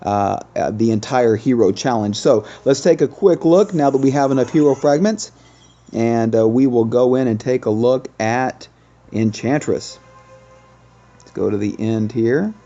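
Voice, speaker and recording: A man's voice: mid-range voice, unhurried, warm tone, muffled recording, soft background music. A man doing casual, explanatory game commentary as the host of a gaming video.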